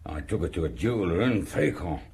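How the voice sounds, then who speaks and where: A plain local man in an audio drama, guarded and hesitant. The voice is deep but quite varied in pitch, with faint noise behind. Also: lively intonation, brisk, darkish tone.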